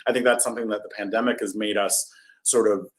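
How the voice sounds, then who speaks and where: A man's voice: on the low side, steady tone, fast. A man chatting casually as an interview guest, thinking aloud in a tentative, loosely hedged way. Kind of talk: casual chat.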